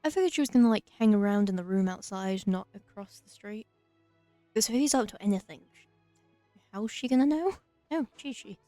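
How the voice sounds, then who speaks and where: A woman with a mellow, relaxed voice gives casual let's play commentary. She makes wry, dry remarks in a close, dry recording with faint game music behind her. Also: mid-range voice, fairly quick, no room sound. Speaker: gaming streamer.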